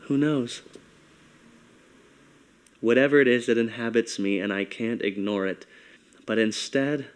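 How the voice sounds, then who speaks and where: Soft-voiced man in a confessional monologue. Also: medium pitch, fairly even intonation, measured pace, long pauses, clear, resonant tone. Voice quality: soft, feminine-sounding voice. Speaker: monologue performer.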